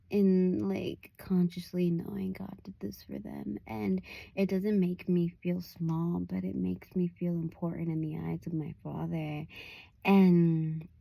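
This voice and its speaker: A woman evangelist with a raspy voice, giving a casual devotional talk outdoors, full of "like"s and restarts. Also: lowish voice, flat delivery, takes it slowly, dark tone, no room sound. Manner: loose and conversational, many "like"s and restarts. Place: outdoors at a park overlook.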